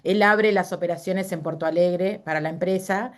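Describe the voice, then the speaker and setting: A woman's voice: lowish voice, steady tone, normal speed. A middle-aged woman with a Uruguayan Spanish accent, speaking as a guest in a casual interview and telling personal stories with frequent fillers and self-corrections.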